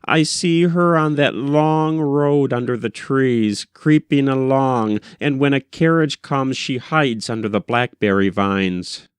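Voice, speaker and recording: A man reading a first-person short story as scripted literary narration, with measured, deliberate delivery. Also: mid-range voice, clear, resonant tone, no echo, clean studio sound. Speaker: audiobook reader.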